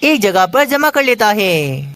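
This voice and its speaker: A woman's voice: medium pitch, very animated, a little slow, fairly bright tone. A woman reading scripted narration for a children's cartoon story.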